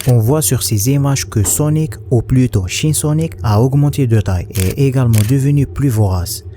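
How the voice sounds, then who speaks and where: A man's voice: medium pitch, moderate pace, mellow. Male narrator, mid-range voice, scripted horror-explainer read, noticeable noise behind him. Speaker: video narrator.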